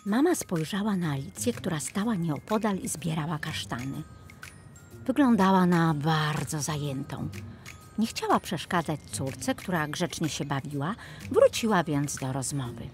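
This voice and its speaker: A woman narrates a children's fairy tale in a lowish voice with very lively swings of intonation. Her delivery is expressive and scripted, with music softly behind. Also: unhurried.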